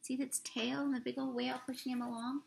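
Young female storyteller for kids' picture book read-aloud; raised pitch, noticeable fry, expressive and questioning delivery. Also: a little hiss or hum. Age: young adult. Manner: expressive, drawn-out words, asks the listeners questions. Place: small room indoors.

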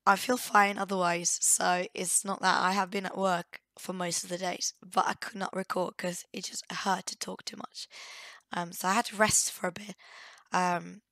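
Hoarse woman with a bright voice. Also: on the low side, level tone, moderate pace, no room sound. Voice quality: croaky from a cold. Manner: hesitant, thinking aloud. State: hoarse with a cold. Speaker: gaming video host. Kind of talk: casual let's play commentary.

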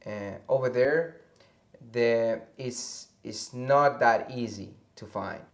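A young man from Venezuela with a Venezuelan accent, a native Spanish speaker answering interview questions haltingly, searching for words, on a lapel mic indoors. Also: medium pitch. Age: young adult. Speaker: interview guest. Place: indoor room with a fireplace, seated.

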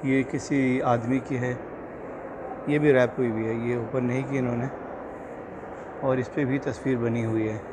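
Man, 30s, casual tour guide talk, halting, phone mic, loud background noise. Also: medium pitch, fairly even intonation. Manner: loose, halting guide talk pointing out exhibits. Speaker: travel vlogger. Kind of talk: casual walking tour narration. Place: large indoor museum hall. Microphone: phone held at arm's length with earphone mic.

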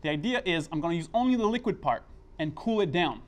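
A man's voice: on the high side, lively intonation, fast. A middle-aged man hosting a casual, explanatory science demonstration in an indoor lab, speaking informally as he works. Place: indoor school chemistry lab.

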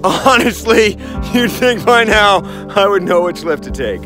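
A man's voice: high-pitched, lively intonation, unhurried, over loud music. A man hosting a casual vlog outdoors at a ski race, chatty and upbeat, laughing often, a bit hungover, filmed on a handheld camera at arm's length. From United States. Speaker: vlogger host.

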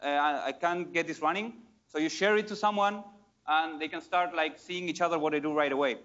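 Upbeat man demoing software, lo-fi. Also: a little high, fairly quick, soft background music. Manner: casual, enthusiastic, many fillers and restarts. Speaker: conference speaker. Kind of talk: live tech demo talk.

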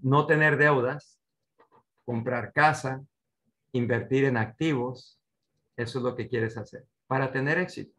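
A man's voice: mid-range voice, unhurried, long pauses, a bit bright. An older man giving an online finance seminar as a coach, speaking didactically with short instructions and rhetorical questions over a video call. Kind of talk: online seminar teaching with slides. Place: indoor room at home, on a video call.

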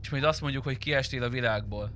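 A man chatting casually while playing an online role-play game. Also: mid-range voice. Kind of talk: casual chat during online gameplay. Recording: bright harsh mic, faint noise.

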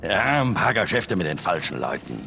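An actor playing a gruff older male treasure hunter, wry and grumbling, with a gravelly voice, in a dubbed action video game. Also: deep voice, big pitch swings, normal speed, exaggerated voice. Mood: wry, teasing. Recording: music behind the voice.

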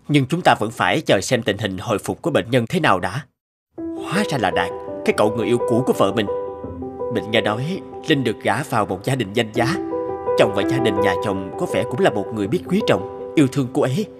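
A man's voice: on the low side, animated, fairly quick, loud music behind the voice, lightly acted. Man playing an earnest, troubled young doctor. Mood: pensive. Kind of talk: animated cartoon dubbing.

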